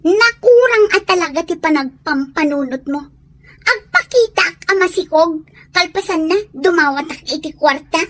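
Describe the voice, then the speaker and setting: A woman's voice: very high voice, moderate pace, fairly bright tone. A woman playing an anxious, sulky young pregnant student girlfriend in an audio drama. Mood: worried, reproachful. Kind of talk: Ilocano audio drama acting.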